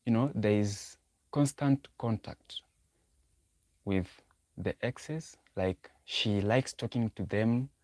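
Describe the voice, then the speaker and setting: A man's voice: on the low side, slow pace, darkish tone, no room sound. A young man hosting a livestream, talking casually about dating advice, repeating himself as he thinks aloud and answering chat. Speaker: livestream host.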